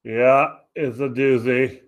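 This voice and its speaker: An actor playing a hapless soldier in a radio comedy, hesitant, deadpan and complaining. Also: male voice, mid-range voice, slow, close to an everyday voice. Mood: awkward, flat. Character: deadpan, hapless soldier preoccupied with minor discomforts. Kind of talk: comic radio play acting.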